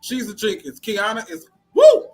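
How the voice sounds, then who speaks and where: A man's voice: high voice, huge pitch swings, normal speed, a little mellow, soft background music. A man speaking as an interview guest in casual, informal conversation, repeating phrases for emphasis.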